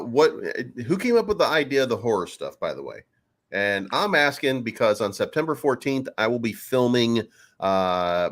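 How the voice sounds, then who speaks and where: Guy hosting a crypto stream, jokey and loose, lowish voice. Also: a bit bright. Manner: joking, loose and rambling. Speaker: host. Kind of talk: casual livestream chat.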